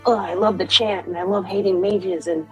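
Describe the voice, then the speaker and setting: A woman's voice: lowish voice, steady tone, normal speed, highs cut off, soft background music, pitched below the performer's own voice, close to an everyday voice. A woman playing a sassy, flippant rogue in improvised tabletop roleplay, rambling and teasing in slangy talk. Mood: sly, teasing.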